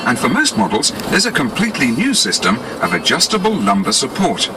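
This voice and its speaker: A man's voice: mid-range voice, wildly swooping pitch, fairly quick. A British man reading scripted 1980s promotional narration in a polished, explanatory salesman's manner, on a cassette tape. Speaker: advertising narrator. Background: music.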